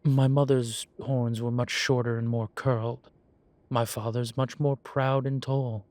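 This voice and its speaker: A man playing a composed, teasing demon professor in a roleplay audio, speaking in a formal, measured and wry way. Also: mid-range voice, level tone, darkish tone, dry recording, close to an everyday voice. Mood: patient, explaining. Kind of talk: scripted roleplay audio, one side of a conversation.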